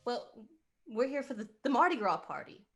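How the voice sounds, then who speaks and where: A woman voicing a polite, easygoing traveling male musician with a quick wit. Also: a little high, very animated, a bit bright, breathy edge, faint music behind, heavily acted. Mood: breezy. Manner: breezy, polite, quick-witted.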